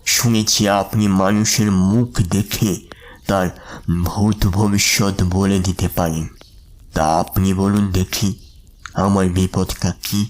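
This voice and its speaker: Slow, low-voiced humble businessman. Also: little pitch movement, a little hiss or hum. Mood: cautious, probing. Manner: slow, deferential, halting delivery. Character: troubled middle-aged businessman, humble, deferential, superstitious. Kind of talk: dramatised audio story acting. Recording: music under the voice.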